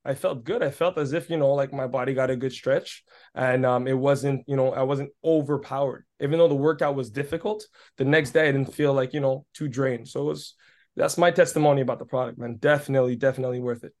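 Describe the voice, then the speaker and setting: A man's voice: medium pitch, quick pace, a little mellow. Enthusiastic man in his thirties. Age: 30s. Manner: enthusiastic, emphatic, repeats phrases. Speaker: podcast guest giving a testimonial. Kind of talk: casual storytelling.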